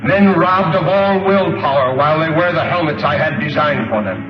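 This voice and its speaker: A coldly menacing gangster warlord , acted by a man from a vintage film serial. He gives orders and threats formally and deliberately. The sound is dull and roomy, with music clearly behind him. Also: on the high side, lightly acted. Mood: cold menace. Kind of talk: 1930s film serial acting.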